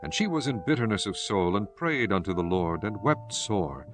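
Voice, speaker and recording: Low-voiced male scripture narrator. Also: very animated. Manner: solemn, measured storytelling. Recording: music bed behind the voice.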